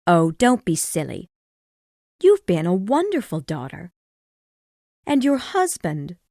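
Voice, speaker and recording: Worried old mum, high and pleading, male reader doing it, dry audio. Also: wildly swooping pitch, slow pace, vocal fry, darkish tone, no room sound, heavily acted. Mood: tender. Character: old mother, anxious and pleading.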